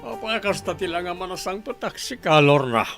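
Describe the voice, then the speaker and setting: A man's voice: sits high, expressive, brisk, fairly bright tone, soft background music. A man playing a disapproving father in a radio drama.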